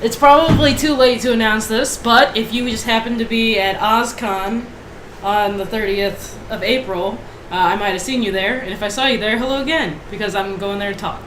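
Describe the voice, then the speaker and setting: A woman's voice: on the high side, moderate pace, fairly bright tone. Woman with a cold sounding phlegmy, dry jokey chat, roomy and hissy. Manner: wry, punning. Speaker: panel guest. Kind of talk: casual panel chat. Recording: roomy with audible hiss.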